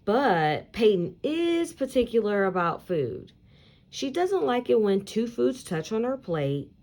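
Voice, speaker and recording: A woman reading a children's story aloud in an expressive, emphatic storyteller's manner, with deliberate phrasing for young listeners. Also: a little high. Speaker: teacher reading picture books aloud for children.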